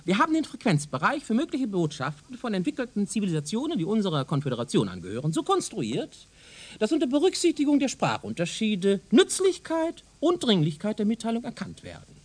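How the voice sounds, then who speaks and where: A man's voice: high-pitched, huge pitch swings, brisk, exaggerated voice. A man playing a zealous, self-assured professor of speculative science in a German radio drama, lecturing in a pedantic, insistent way. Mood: earnest, insistent.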